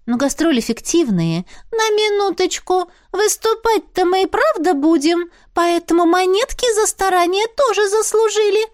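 A woman's voice: very high voice, animated, normal speed, few pauses, voice pushed up. A woman voicing a small, bossy female spirit who talks in a businesslike, indignant way. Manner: businesslike, indignant, hissing when alarmed.

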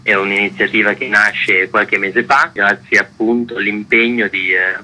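Male festival organizer, lowish bright voice, measured with restarts, radio interview. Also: low-quality recording. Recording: radio broadcast.

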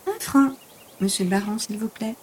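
A woman's voice: mid-range voice, moderate pace, darkish tone, slight background noise, close to an everyday voice. A woman acting as a polite young bar seller at a fête in a French audio drama. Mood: polite, sprightly.

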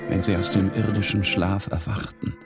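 Man, narrator, low pitch, dramatic literary monologue, loud music throughout. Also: level tone, moderate pace.

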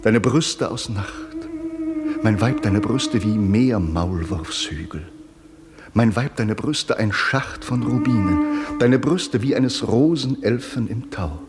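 Slow, low-voiced entranced male poet. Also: animated, over loud music. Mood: entranced, solemn. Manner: incantatory, measured phrasing with deliberate pauses. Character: solemn male lover-poet chanting surrealist verse, entranced. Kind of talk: solemn poetry recitation in a radio drama.

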